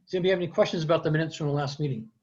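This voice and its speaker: An American man chairing an online committee meeting in an informal, halting way, with muffled sound. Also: fairly high pitch, darkish tone. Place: remote video meeting.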